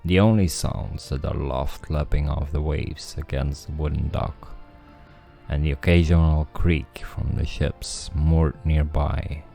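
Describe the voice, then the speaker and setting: A man's voice: extremely low pitch, fairly even intonation, a little slow, creaky, dark tone. A man streaming a game, thinking aloud and reading the story text himself. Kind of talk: casual game commentary with read-aloud narration. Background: faint music.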